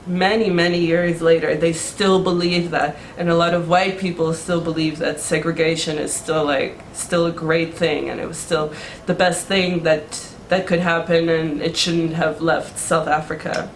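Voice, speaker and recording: A woman's voice: fairly low pitch, slight background noise. A young woman from Taiwan in a casual interview, talking loosely with lots of "like" and restarts. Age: young adult. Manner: rambling, many "like" fillers and restarts. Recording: echoey room.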